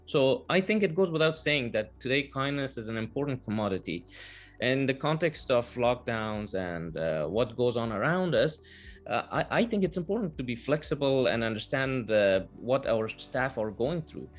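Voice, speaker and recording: A man whose first language is Persian, giving measured, earnest interview answers with filler pauses over a video call. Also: medium pitch, lively intonation, faint music behind. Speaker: guest, translation business owner.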